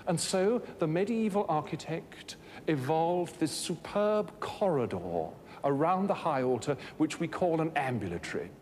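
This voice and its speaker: A man in his thirties presenting a scripted educational documentary in a measured, articulate, expository manner. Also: a little high, a little mellow. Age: 30s. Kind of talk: scripted educational narration on architecture. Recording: noisy old documentary film soundtrack.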